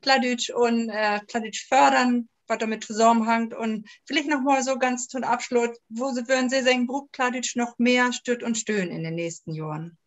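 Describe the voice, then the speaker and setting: A woman interviewer asks her questions casually, sprinkling in Low phrases. Her voice is on the high side. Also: moderate pace, few pauses.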